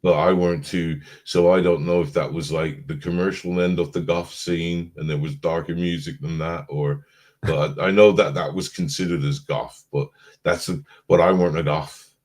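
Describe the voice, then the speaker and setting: Man, very low voice, stoned, drowsy, rambling, trails off. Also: fairly even intonation, normal speed, darkish tone. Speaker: podcast co-host. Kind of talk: casual live-stream chat.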